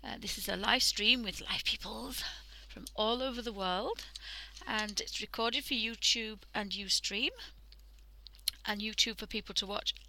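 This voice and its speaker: A woman with a croaky voice from a cold, chatting casually through a drawing tutorial on a headset mic and often dropping into half-whispers. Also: medium pitch, steady tone, a little slow, bright, forceful tone, low-quality recording. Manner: chatty, thinking aloud, drifting into half-whispers. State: hoarse from a cold. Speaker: art livestream host.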